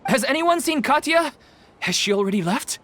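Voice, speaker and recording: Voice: sits high, animated, moderate pace, fairly bright tone. An actor playing a helpful, dutiful event organizer in a video game, polite and earnest. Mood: concerned, dutiful. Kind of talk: video game character dialogue.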